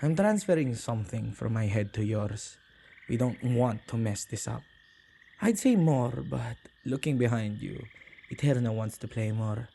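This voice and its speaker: A tender, protective male witch, acted by a man, speaks in a soft, intimate ASMR roleplay style. His voice is mellow and slightly halting, with gravelly fry. Some background ambience sits behind him. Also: mid-range voice, expressive, a little hiss or hum. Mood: warm, gentle. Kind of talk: ASMR boyfriend roleplay acting.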